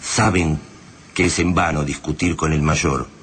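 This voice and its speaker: A low, solemn male narrator voiced by an actor tells a dark invasion tale for radio. He speaks with an Argentine accent, grave and poetic, over clearly audible background noise. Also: steady tone, measured pace. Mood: grave, ominous. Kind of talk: dramatic radio play narration.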